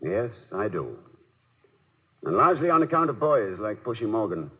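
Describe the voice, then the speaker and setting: An actor playing a dignified, dryly witty middle-aged college president in a 1950s radio comedy-drama, speaking formally and precisely. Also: male voice, fairly low pitch, sing-song, normal speed, fairly bright tone. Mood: reflective. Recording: noisy old radio broadcast recording.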